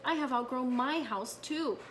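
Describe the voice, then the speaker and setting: A woman's voice: sits high, unhurried, fairly bright tone, pitched above the performer's own voice. Woman voicing a friendly, eager storybook hermit crab, noisy recording. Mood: earnest.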